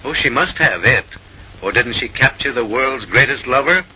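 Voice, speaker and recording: A man's voice: mid-range voice, expressive, normal speed, pushed and bright, a little hiss or hum, low-quality recording. A man narrating in a mid-century comic newsreel style, with an arch, mock-refined, theatrical delivery, on a vintage film soundtrack.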